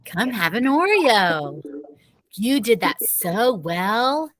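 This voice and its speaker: A woman's voice: fairly high pitch, sing-song, takes it slowly, fairly bright tone, exaggerated voice. A woman playing an encouraging female helper in a children's storybook, praising and coaxing.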